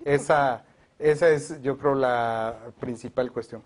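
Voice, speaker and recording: Man, education advocate, measured, explains step by step, mid-pitched voice. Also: fairly even intonation. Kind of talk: televised discussion.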